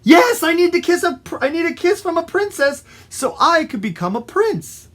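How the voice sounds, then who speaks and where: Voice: medium pitch, big pitch swings, slow pace, fairly bright tone, pitched above the performer's own voice, heavily acted. A storyteller voicing a naive, eager little storybook frog, earnest and dramatically drawn out. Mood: excited.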